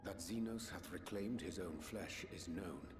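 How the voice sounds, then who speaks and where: An actor playing a solemn, learned sage who speaks in formal, archaic English for a fantasy game. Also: male voice, sits low. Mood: grave, deliberate. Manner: formal, archaic, measured diction. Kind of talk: theatrical fantasy game voice acting. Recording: roomy echo, game music behind.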